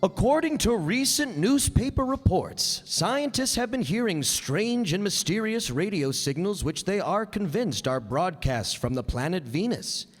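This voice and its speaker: Old-time radio narrator, man acting: formal, deliberate, lively intonation, big echoing stage. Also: sits high, full character voice. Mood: solemn, intriguing. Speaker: radio drama announcer and narrator. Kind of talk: scripted 1950s radio narration. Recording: reverberant hall stage recording.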